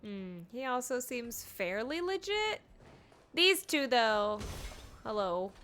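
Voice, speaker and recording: A woman's voice: on the high side, very animated, takes it slowly, fairly bright tone, slight background noise. A woman giving casual, playful livestream commentary as she plays a game, reacting as she goes. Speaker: game streamer.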